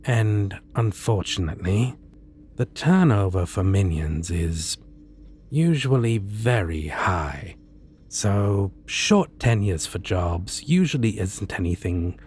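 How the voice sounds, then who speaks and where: Man playing a deep, measured, courteous supervillain. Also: animated, slight background noise, full character voice. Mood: approving, businesslike. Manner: measured, soft-spoken, deliberate pauses. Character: suave male supervillain, menacing yet courteous, interviewing a minion. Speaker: voice actor. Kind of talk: ASMR roleplay.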